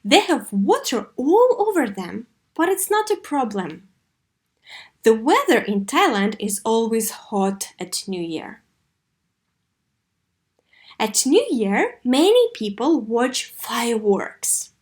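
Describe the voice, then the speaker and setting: Female English teacher for a kids' video lesson, slightly high pitch, instructive and expressive. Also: takes it slowly. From Russia. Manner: didactic, expressive model reading with clear questions. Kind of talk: video lesson for third graders.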